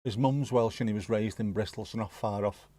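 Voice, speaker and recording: A man's voice: lowish voice, fairly even intonation, fairly quick, dark tone, dry recording. Chatty Scouse man, dry close sound. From Liverpool area, England. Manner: chatty, joking, swears casually. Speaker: livestream host. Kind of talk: casual chat reacting to live chat.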